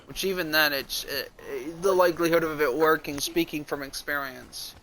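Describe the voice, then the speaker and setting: A man's voice: fairly high pitch, steady tone, normal speed, bright, forceful tone, a little hiss or hum, rough recording. A man chatting casually on a live stream, explaining his reasoning in an analytical way. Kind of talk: casual chat. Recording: music behind the voice.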